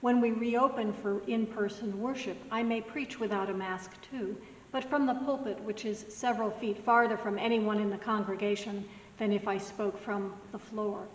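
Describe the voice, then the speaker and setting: A woman pastor giving a soft-spoken, gently conversational sermon message. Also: mid-range voice, steady tone, normal speed, highs cut off, a little hiss or hum. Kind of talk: recorded weekly sermon message. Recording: roomy echoing hall, mic at a distance.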